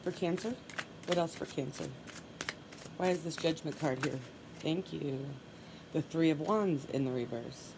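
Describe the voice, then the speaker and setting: A woman with a stuffy nose giving a solo tarot reading, calmly and softly repeating her requests as she draws cards. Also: low voice, a little slow, vocal fry, pauses often, noisy background. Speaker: tarot reader.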